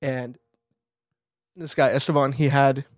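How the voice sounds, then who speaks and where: An American man co-hosting a casual conversation, telling stories in an animated, slangy way and peppering his talk with "like". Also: medium pitch, unhurried, dry recording, studio quality. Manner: animated storyteller, swears casually, frequent "like". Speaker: podcast co-host and photographer.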